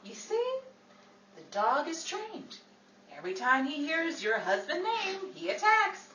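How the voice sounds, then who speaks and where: A woman playing a witty, exasperated Hollywood actress in a 1940s-style radio comedy, theatrical and quick with dry comebacks. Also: very high-pitched, very animated, heavily acted. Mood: brisk, reassuring. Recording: roomy, distant, noisy, crowd sound behind.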